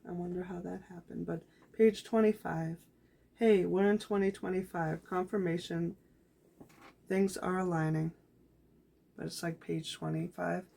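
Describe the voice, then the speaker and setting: Woman, journal reading, calm reflective, gravelly creaky voice, slightly raspy. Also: fairly low pitch, a little slow. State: voice getting raspy. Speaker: vlogger. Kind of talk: reading her handwritten journal aloud.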